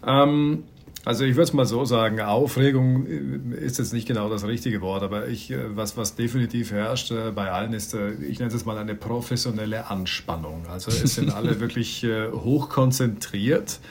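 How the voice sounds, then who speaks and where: A middle-aged man from Austria, a ship captain, chatting in an interview while searching for words with many pauses and restarts. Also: medium pitch, vocal fry, a little mellow, slight background noise. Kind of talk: casual interview answers.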